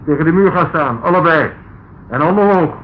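A man's voice: fairly high pitch, brisk, natural voice. A man playing a masked intruder, tinny and hissing. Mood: coolly menacing. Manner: calm, mocking commands. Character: masked male intruder, cool and menacing. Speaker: villain. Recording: tinny old radio broadcast, faint hiss.

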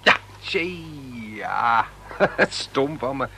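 A man's voice: mid-range voice, expressive, drawn-out words, creaky, sharp tone, slight background noise, heavily acted. An actor playing a polite, hesitant young male visitor in a radio play, agreeable and mildly amazed. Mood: sheepish. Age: young adult. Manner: hesitant, agreeable, brief replies. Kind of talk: Dutch radio play dialogue.

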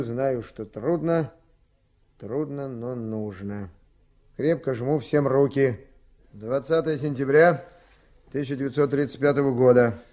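A man's voice: mid-range voice, warm tone, close to an everyday voice. An actor playing a resolute, plain-spoken foreman of a timber rafting crew in a Soviet radio drama.

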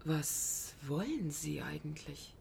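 A woman's voice: low voice, lively intonation, slow pace, creaky, a little mellow, a little hiss or hum, heavily acted. An actress in a German radio play playing a cool, impatient woman with an offhand, politely dismissive manner. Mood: wary. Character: cool, impatient woman receiving an unwelcome visitor.

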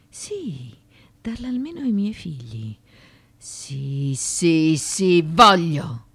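An actress in a theatrical radio drama playing a proud, defiant former slave and courtesan who addresses judges in a declamatory, deliberate way. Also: female voice, sits low, slightly rough, exaggerated voice. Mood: defiant, insistent. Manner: declamatory, deliberate, shifting between hushed and forceful.

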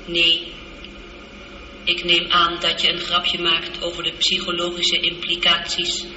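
An actress playing a female spaceship computer in a radio drama, formal, measured, literal and calmly reassuring. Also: on the low side. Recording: dull, noisy, echoing old radio recording.

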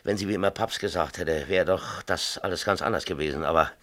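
A man's voice: deep voice, a little fast, fairly bright tone. An actor playing a tipsy, middle-aged small-town mayor and father, ranting confessionally and seeking agreement in a radio drama. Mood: remorseful, agitated. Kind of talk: 1970s East German radio drama acting.

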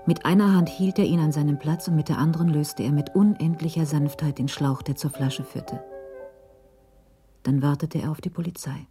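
A woman with a low voice narrates a radio play as scripted storytelling. Music plays clearly behind her. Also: fairly even intonation, moderate pace, dark tone.

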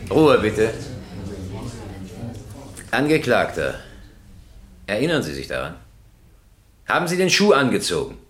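Stern, low-voiced courtroom judge. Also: male voice, wildly swooping pitch, a little slow, long pauses. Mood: insistent, formal. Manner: formal, probing, emphatic questioning. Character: stern male presiding judge in an East German courtroom. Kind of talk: German radio crime drama acting.

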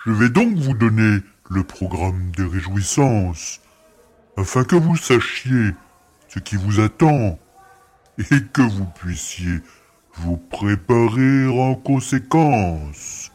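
A man's voice: lowish voice, lively intonation, slow, darkish tone. A man playing a ceremonious alien organizer of arena games addressing captives in an audio drama. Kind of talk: French audio drama acting.

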